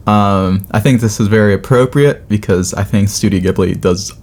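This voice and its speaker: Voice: sits low, moderate pace, a little mellow. A young adult podcast host chatting casually in a wry, playful way. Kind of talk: casual chat. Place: office.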